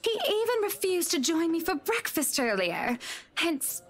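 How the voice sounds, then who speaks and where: An actress playing a wealthy, teasing, sharp-tongued young wife in a visual novel, chatty and pointed. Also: female voice, very high-pitched, very animated, measured pace, heavily acted. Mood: indignant.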